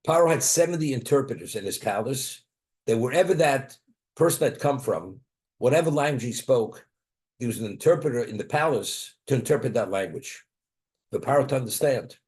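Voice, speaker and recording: A man's voice: mid-range voice, expressive, brisk, a little mellow. A man giving a Torah class who asks rhetorical questions and answers them, weaving Hebrew and Aramaic terms into his English and often restarting his words as he thinks aloud. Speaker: Torah teacher.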